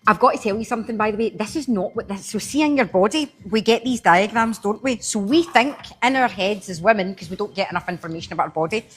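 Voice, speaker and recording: Chatty Glaswegian woman, breathy voice. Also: mid-range voice, fast, few pauses, faint music behind. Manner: chatty, answers viewers by name while demoing. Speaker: live-selling host. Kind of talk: casual live-stream sales demo.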